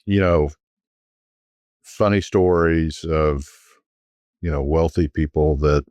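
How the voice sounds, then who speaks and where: A middle-aged man, a guest recalling his years selling private jets, talking in a relaxed, rambling way with frequent restarts. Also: extremely low pitch, slow pace, no echo. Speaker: guest, former private jet sales executive. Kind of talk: conversational storytelling.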